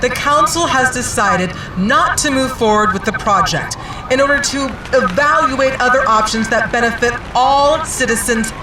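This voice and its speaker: A woman's voice: sits high, moderate pace, distant mic, music in the background, lightly acted, poor audio. A woman playing a formal city council chair, announcing officially in an audio drama.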